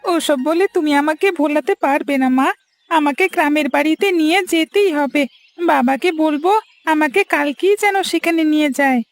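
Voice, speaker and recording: A woman's voice: sits high, normal speed, no room sound. A woman voicing a stubborn, sulky young schoolboy in a cartoon, with a whiny, insistent way of talking. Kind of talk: cartoon voice acting.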